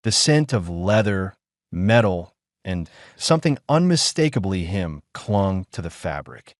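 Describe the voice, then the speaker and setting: Lower-voiced man narrating with lively inflection. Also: measured pace, dry recording, clean studio sound. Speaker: audiobook narrator. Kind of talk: scripted story narration.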